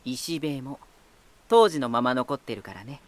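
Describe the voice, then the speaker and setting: An actor playing a teasing, talkative lighthouse spirit in an anime-style voice drama, boyish and self-assured with an unsettling edge. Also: male voice, mid-range voice, lively intonation, unhurried, lightly acted. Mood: relaxed, proud. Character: playful male lighthouse spirit, teasing, talkative, eerily battle-hungry.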